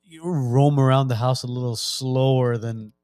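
A man's voice: medium pitch, steady tone, normal speed, a little mellow, dry recording. Chatty man hosting a podcast. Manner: rambling, restarts sentences, easy laughter. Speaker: podcast co-host. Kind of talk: casual podcast chat.

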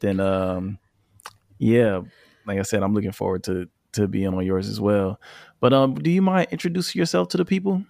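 A man's voice: fairly low pitch, creaky, dry recording, clean studio sound. Laid-back man hosting a podcast. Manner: relaxed, leans on "you know" fillers. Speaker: podcast host. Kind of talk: casual interview chat. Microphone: remote call.

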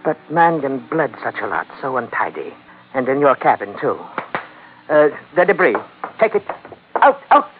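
Sly elderly merchant villain (male actor), oily politeness, fussy drawn-out delivery, narrow old radio sound. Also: mid-range voice, sing-song, lightly acted. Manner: fussy, mannered, drawling politeness with hesitations. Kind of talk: old-time radio drama acting. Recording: muffled, phone-like vintage radio recording.

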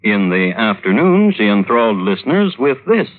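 An actor playing a wry storyteller, narrating an old-time radio drama with dry, mock-solemn delivery. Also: male voice, on the low side, sing-song, normal speed. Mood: droll, dry. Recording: muffled, narrow-band old radio recording.

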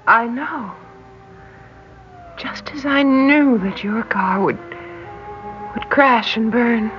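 A woman acting a brave, tender young American woman in a classic radio drama, with a breathy, intense delivery. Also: on the high side, takes it slowly. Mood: anxious. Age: young adult. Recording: dull old radio recording with music.

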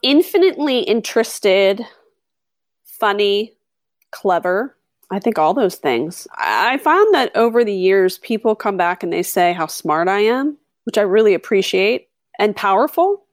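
A middle-aged woman, a podcast host, telling stories in a chatty, animated way with lots of fillers. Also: medium pitch, unhurried, no room sound, clean studio sound. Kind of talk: conversational interview.

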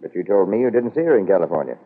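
An actor playing a proud, wily middle-aged businessman in a 1940s radio soap, husky, wry and measured. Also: deep voice, very animated, full character voice. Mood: wary, defiant. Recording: muffled old radio broadcast.

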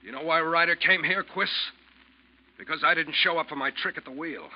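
A shrewd, commanding ship's officer in a forties radio play, acted by a man. He speaks curtly in a somewhat high, husky voice. The old recording is dull and lo-fi. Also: fairly quick, lightly acted. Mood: coolly triumphant. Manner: curt, authoritative. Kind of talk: 1940s radio drama acting.

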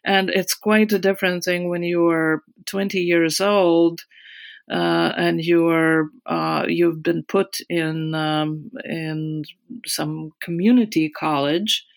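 Latvian-accented woman, 60s, interview guest; unhurried, halting delivery, creaky voice. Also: fairly low pitch, fairly even intonation. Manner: hesitant, many fillers and restarts, laughs softly. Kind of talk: conversational recollection.

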